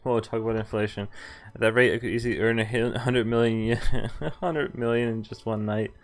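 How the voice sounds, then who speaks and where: A man's voice: medium pitch, steady tone, fairly quick. A man streaming a game, reading visual novel text aloud and reacting casually. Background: game music.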